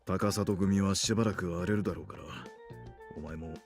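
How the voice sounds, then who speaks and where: A male actor plays a stern yet caring man in a visual novel. His voice is low and his delivery very rapid, over faint background music. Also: fairly even intonation, lightly acted. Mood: serious warning. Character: gruff, concerned adult man, stern but caring. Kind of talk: Japanese visual novel voice acting. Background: game music.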